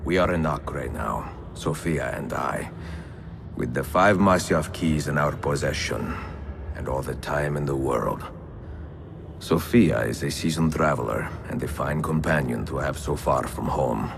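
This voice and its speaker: Weary old italian assassin, super deep gravelly voice, solemn n measured, game cutscene w noise behind. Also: male voice, heavily acted. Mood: tender, tired. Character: weary, reflective veteran Italian assassin, noble and warm. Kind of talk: dramatic game cutscene dialogue and letter narration.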